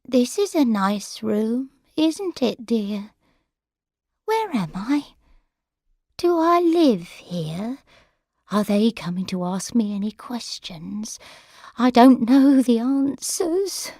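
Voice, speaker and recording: A woman reading the part of a muddled elderly woman, talking slowly in a wandering, confused way, in a dry recording. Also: on the low side, very animated, creaky, no echo, full character voice. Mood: worried. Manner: slow, wandering, confused speech.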